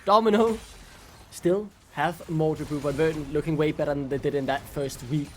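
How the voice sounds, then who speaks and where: Higher-voiced Danish man casting esports. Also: steady tone, moderate pace, a little mellow, a little hiss or hum. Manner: chatty, quizzing his co-caster. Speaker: esports caster. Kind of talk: live esports commentary.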